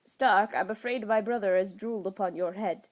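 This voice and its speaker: A woman's voice: mid-range voice, normal speed, close to an everyday voice, low-quality recording. A woman voicing a haughty, sarcastic trickster god trapped in a small boy's body, speaking formally and indignantly in a storybook reading. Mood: sarcastic drawl.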